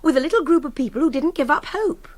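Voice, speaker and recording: A woman's voice: sits high, animated, fast, darkish tone. An actress playing a defiant, superior young captive woman with an English accent, articulate and impatient, in radio drama dialogue. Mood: exasperated, argumentative. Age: young adult.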